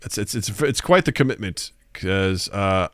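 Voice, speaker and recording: A man's voice: deep voice, animated, a little slow, no room sound, clean studio sound. A man of forty hosting a live podcast stream in a laid-back, joking way, chatting with his co-host and reading viewer comments aloud. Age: 40s. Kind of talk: casual live-stream chat.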